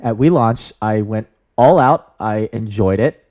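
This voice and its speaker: A man in his thirties with a booming voice, talking earnestly and enthusiastically about student leadership in an interview. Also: measured pace, darkish tone. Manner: earnest, upbeat, enthusiastic with frequent fillers. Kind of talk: interview answers.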